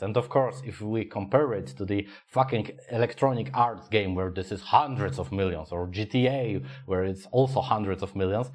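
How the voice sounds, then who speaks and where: A man with a low voice and a Polish accent chatting on a podcast in English, which is not his first language. He talks in halting stretches, filling gaps while he hunts for words. He swears freely as he goes. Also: a little mellow. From Poland. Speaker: podcast co-host. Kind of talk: casual podcast chat.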